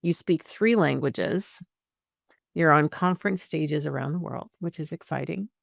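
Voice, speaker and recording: Middle-aged woman host with a gravelly, creaky edge and a dry, close sound; conversational and encouraging. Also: sits low, unhurried, dry recording. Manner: conversational, encouraging, with frequent fillers and restarts. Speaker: podcast host. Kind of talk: casual interview chat.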